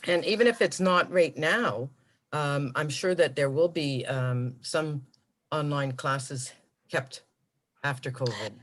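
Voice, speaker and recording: Man calmly pitching animation ideas on a call. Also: slow pace. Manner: calm, explains ideas step by step with fillers. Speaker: animation studio producer. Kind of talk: video call meeting. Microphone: video call.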